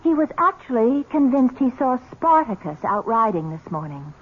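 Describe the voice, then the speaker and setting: Breezy bossy young fiancee, teasing, swoopy voice, tinny old radio drama. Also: female voice, fairly high pitch, very animated, slight background noise. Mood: chatty. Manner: teasing, brisk, insistent. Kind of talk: 1970s radio drama acting.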